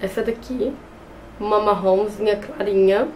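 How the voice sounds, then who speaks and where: A woman's voice: mid-range voice, fairly even intonation, unhurried, darkish tone, slight background noise, poor audio. A young woman with a cold, chatting her way through a makeup tutorial in her bedroom, talking close to the camera and often restarting words. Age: young adult. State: has a cold. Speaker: beauty vlogger. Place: small bedroom with shelves and a clothes rack. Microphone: camera close in front.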